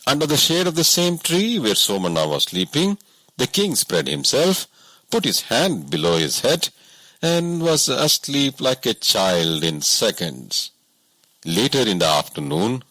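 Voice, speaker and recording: A man's voice: fairly low pitch, bright, forceful tone, no room sound, rough recording. A man narrating a scripted audiobook story as a reader.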